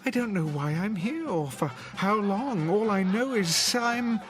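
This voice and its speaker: Pleading, bewildered older husband. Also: male voice, high-pitched, lively intonation, a little slow. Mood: earnest pleading. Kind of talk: audio drama acting. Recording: music behind the voice.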